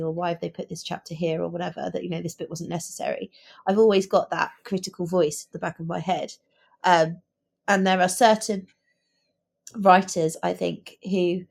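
Female book podcaster, lowish voice with plenty of fry, earnest explanatory chat. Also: a little mellow. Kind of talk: casual book discussion.

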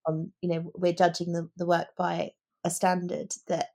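Female book podcaster, lowish voice with plenty of fry, earnest explanatory chat. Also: monotone, normal speed, dark tone. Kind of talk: casual book discussion.

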